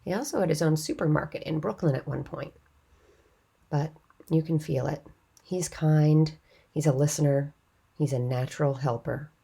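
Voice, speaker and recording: Woman, deep voice, interviewer, podcast host, narrating and questioning. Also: moderate pace, vocal fry, long pauses, dark tone, breathy edge.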